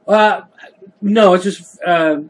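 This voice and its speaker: Male podcast co-host, knowledgeable and talkative, a bit above average in pitch. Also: steady tone, slow. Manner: knowledgeable, explains at length. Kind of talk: casual chat about comics.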